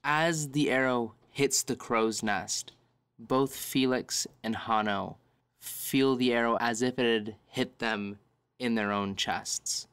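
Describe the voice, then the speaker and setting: A man narrating a tabletop game as game master, descriptive, measured and dramatic. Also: mid-range voice, fairly bright tone, smooth, no room sound.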